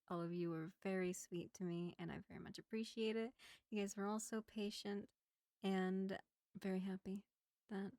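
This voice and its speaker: A woman chatting casually to followers as an audio creator, soft-spoken and a little hesitant. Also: mid-range voice, dry recording, clean studio sound. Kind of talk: casual outro talk to followers.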